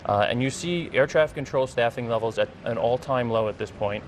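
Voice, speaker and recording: A man's voice: medium pitch, a little fast. Earnest American man, faint noise behind him. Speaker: interview guest, congressional candidate and former air traffic controller. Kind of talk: live TV news interview answers.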